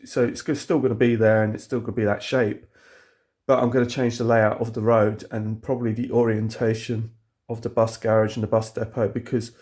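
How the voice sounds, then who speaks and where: A man's voice: lowish voice, darkish tone. Sniffly man rambling through his model layout. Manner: rambling, many fillers and restarts. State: sniffly with a cold. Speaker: model railway hobbyist showing his layout. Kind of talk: casual walkthrough video. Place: indoor hobby room with the layout.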